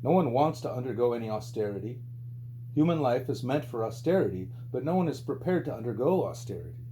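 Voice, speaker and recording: A man reading a spiritual book aloud as scripted audiobook narration, with a mellow voice. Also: lively intonation, a little fast. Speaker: audiobook reader. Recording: low hum and background noise.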